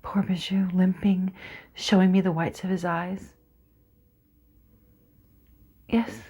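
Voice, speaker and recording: A woman performing a monologue as a teenage Kurdish girl, telling her story in a hushed, poetic way. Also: lowish voice, level tone, unhurried, creaky, breathy edge, full character voice. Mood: shaken. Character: teenage Kurdish girl, haunted former sniper.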